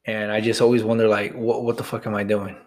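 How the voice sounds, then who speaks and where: A man's voice: fairly low pitch, little pitch movement, fast talker, darkish tone. Rambling, frank man co-hosting a podcast. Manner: rambling, frank, swears casually. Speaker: podcast co-host. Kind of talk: casual chat.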